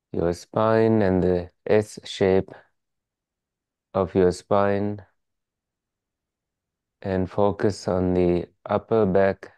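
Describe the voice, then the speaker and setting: A meditation teacher leading a guided relaxation in calm, measured, soothing instructions. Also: low voice, smooth, no room sound.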